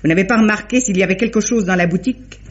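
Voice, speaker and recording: An actress playing a shrewd, practical woman sleuth, brisk and matter-of-fact, in a mid-century French radio mystery style. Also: mid-range voice, close to an everyday voice. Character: shrewd, practical, quick-witted woman sleuth helping an inspector. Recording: dull vintage radio drama recording.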